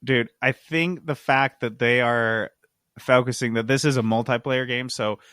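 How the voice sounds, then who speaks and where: A man's voice: medium pitch, steady tone, measured pace, fairly bright tone, dry recording, clean studio sound. A man co-hosting a casual gaming podcast, talking in a joking, sarcastic way. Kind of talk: casual gaming news chat.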